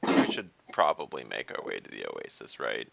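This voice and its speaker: A man's voice: very deep voice, big pitch swings, moderate pace, vocal fry, fairly bright tone, no echo. A man chatting casually and jokily as a player in a tabletop role-playing game. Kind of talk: casual joking game chat.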